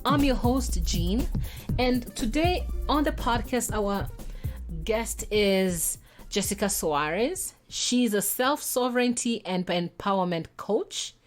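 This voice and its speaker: A woman's voice: a little high, animated, slow pace, soft background music. A woman hosting a podcast, introducing her guest and asking questions with enthusiasm. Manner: enthusiastic, warmly encouraging interviewer. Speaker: podcast host.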